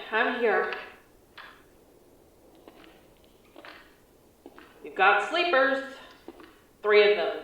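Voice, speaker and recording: Actress as wary female field agent, audio drama. Lively pitch, terse observational reports, very echoey transmission. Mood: uneasy, focused. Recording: echoey, distant, muffled transmission.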